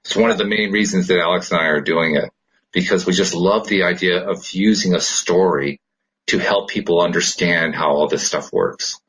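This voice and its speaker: A man's voice: low voice, moderate pace, a bit bright, husky, poor audio. A man hosting a podcast, chatting casually and explaining his thoughts in an earnest way. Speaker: podcast host. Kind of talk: casual conversational podcast chat.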